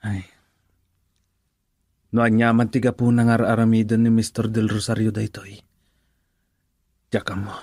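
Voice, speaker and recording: A man's voice: fairly low pitch, completely flat delivery, a little slow, long pauses, darkish tone, no room sound. A man with an Ilocano accent playing a humble, lovelorn young working man in a radio drama. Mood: brooding.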